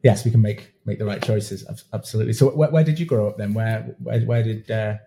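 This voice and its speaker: Dark-voiced man hosting. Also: fairly low pitch, a little fast, gravelly, a little hiss or hum. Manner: chatty, affirming, many yeahs and restarts. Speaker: podcast host. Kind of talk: conversational interview.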